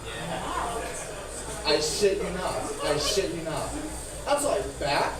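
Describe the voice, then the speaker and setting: A male stand-up comic with a speech impediment on his R's talks in a fairly high, animated voice. He rambles and swears in a self-deprecating way. The recording is echoey and distant. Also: takes it slowly, a loud crowd. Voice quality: speech impediment with soft R sounds. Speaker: stand-up comedian. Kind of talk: stand-up comedy at an open mic. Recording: echoey, noisy room, far from mic.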